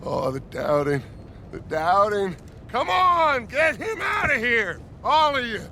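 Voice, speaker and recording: An actor playing a grandiose middle-aged American outlaw gang leader in a video game, theatrical, sardonic and commanding. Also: male voice, wildly swooping pitch, slow, sharp tone, full character voice. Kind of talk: cinematic video game acting. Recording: game battle ambience and vehicle rumble.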